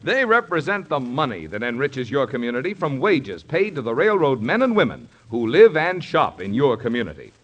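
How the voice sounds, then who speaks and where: A man's voice: medium pitch, very animated, moderate pace, few pauses, a bit bright. A man reading a scripted 1950s radio sponsor announcement in a formal, polished salesman's manner. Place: radio studio. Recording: vintage 1950s radio broadcast.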